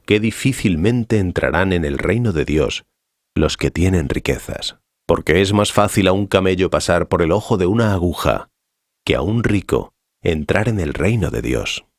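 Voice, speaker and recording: An actor playing a solemn, authoritative male teacher in a dramatized scripture reading, speaking in a measured, didactic way. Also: sits low, expressive, no room sound, clean studio sound. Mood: solemn authority.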